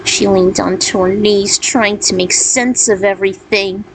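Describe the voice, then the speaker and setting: A woman's voice: medium pitch, fairly even intonation, unhurried. A woman reading her own fan fiction and author's notes as a storyteller. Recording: clearly noisy background.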